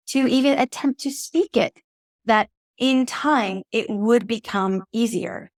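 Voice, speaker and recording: A woman hosting a podcast, giving earnest, encouraging advice in a steady, reflective teaching monologue. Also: mid-range voice, dry recording, clean studio sound. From native English speaker. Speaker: podcast host.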